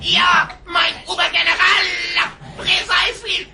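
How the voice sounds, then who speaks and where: Shrill, manic old German mad scientist. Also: male voice, level tone, slow pace, raised voice, a loud crowd, heavily acted. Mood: manic rapture. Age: older. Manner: theatrical, frenzied, gasping exclamations. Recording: heavy noise and clicks.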